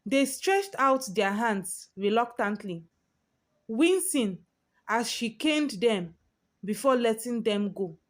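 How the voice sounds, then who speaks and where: A woman narrates a dramatic story in the first person as a storyteller. Her voice sits fairly high and she takes her time, with a very slow, deliberate delivery. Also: lively intonation.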